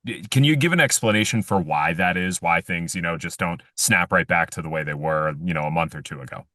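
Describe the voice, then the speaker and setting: A man's voice: deep voice, brisk, sharp tone, no echo, studio quality. A man working as a news interviewer, asking conversational, roundabout questions in a hedging way. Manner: hedging, roundabout questions padded with "you know".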